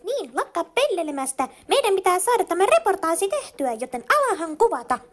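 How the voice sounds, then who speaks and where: Brisk, bossy cartoon reporter, high and animated, voiced by a woman, faint music behind. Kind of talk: cartoon fandub acting.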